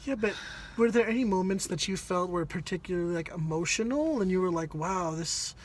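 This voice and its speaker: A woman's voice: lowish voice, a little fast, a little hiss or hum. A woman co-hosting a casual, unscripted review chat, steering it with questions and prompts. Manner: questioning, keeps prompting the other speaker. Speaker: co-host steering a film review.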